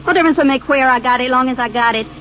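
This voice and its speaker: Muffled noisy vintage radio, high-voiced teenage bad girl, drawl, goading, cold, thrill-hungry. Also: racing, fairly bright tone. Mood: taunting. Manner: slangy, goading, needling. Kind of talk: 1950s radio drama acting.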